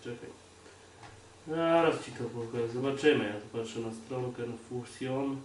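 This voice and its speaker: A man's voice: medium pitch, normal speed. A man co-hosting a sports podcast, chatting casually and without a script. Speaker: podcast co-host. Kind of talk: casual unscripted sports chat. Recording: roomy echo, clear background noise.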